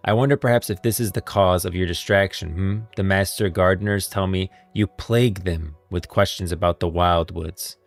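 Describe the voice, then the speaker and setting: A man's voice: low-pitched, fairly even intonation, normal speed, vocal fry, hardly any pauses, no room sound. A man voicing a stern, regal king from a fantasy game, reading the dialogue aloud. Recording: music playing behind.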